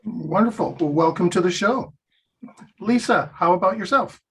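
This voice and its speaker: A male podcast host with a husky, slightly raspy voice pitched a little high. He welcomes guests in a friendly, upbeat way. The sound is that of a video call mic. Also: moderate pace, low-quality recording. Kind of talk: podcast hosting.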